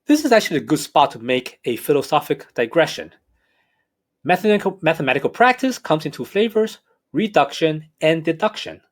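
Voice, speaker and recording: A math professor with a slight Hong Kong accent talks through a recorded lecture. His voice sits a bit high and rises and falls a lot. He explains in a measured, careful way. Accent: Hong Kong English.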